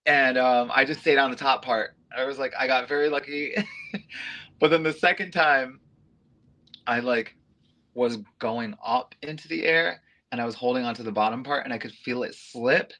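Male guest, casual storyteller, animated, filler-heavy, quick to laugh. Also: mid-range voice. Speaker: interview guest, aerialist and go-go dancer.

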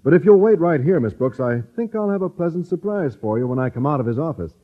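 Earnest naive male teacher, polite, lively intonation, vintage radio comedy, dull recording. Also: on the high side, fast. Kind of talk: 1950s radio sitcom acting.

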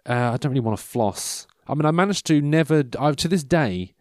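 A man's voice: on the high side, lively intonation, brisk, no room sound. A man in his mid-thirties chatting casually in a meandering, self-interrupting way, losing his train of thought, with dry self-mocking humour. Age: 30s. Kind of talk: rambling casual monologue.

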